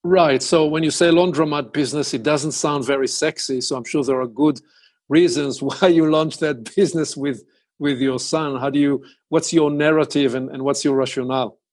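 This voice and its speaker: A man hosting an interview, putting questions in a deliberate, conversational way, phrase by phrase. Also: on the high side, fairly even intonation, darkish tone. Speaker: interviewer, host.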